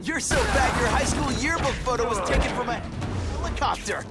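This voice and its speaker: An actor playing a young, wisecracking superhero in a video game, cocky yet earnest, with a quippy, taunting manner. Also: male voice, very high voice, animated, fairly quick. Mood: cocky, teasing. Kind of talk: video game character acting. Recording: loud music over the voice.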